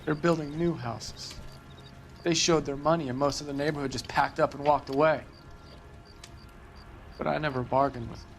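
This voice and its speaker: Gravelly, resigned American family man. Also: on the high side, steady tone, normal speed, pauses often, soft background music. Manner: subdued, resigned, hesitant. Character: weary family man who lost his home, resigned. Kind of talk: video game dialogue.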